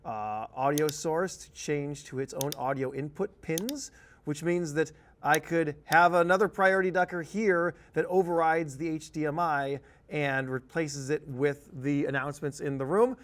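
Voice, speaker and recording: A man giving a conversational software tutorial as a trainer, explaining each step casually. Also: fairly high pitch, unhurried.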